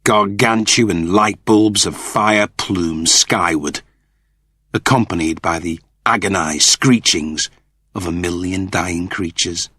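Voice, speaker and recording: A man reading scripted fiction as a narrator, in a deliberate, theatrical storytelling manner. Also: low voice, pushed and bright, slightly rough, no room sound, studio quality. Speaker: audiobook narrator.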